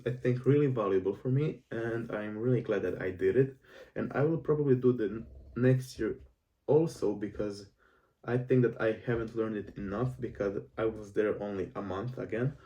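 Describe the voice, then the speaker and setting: Young Croatian man, mellow and distant. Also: medium pitch. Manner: earnest, rambling with restarts and fillers. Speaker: self-improvement vlogger. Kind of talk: casual monologue to camera.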